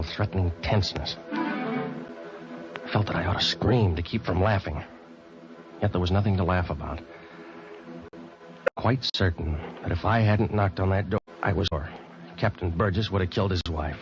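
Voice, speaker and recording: A man's voice: deep voice, moderate pace, long pauses, natural voice. A man acting an uneasy ship's officer who narrates a suspense tale in old-time radio style. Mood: brooding, tense. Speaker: actor and narrator in radio drama. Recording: muffled old radio recording, loud music.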